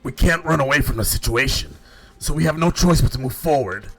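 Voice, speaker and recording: A man hosts a casual game stream with friends, switching between chatting and reading dialogue aloud. His mid-pitched voice moves around a lot. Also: expressive, fairly quick, crowd noise. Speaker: host of a group voice-acting game stream.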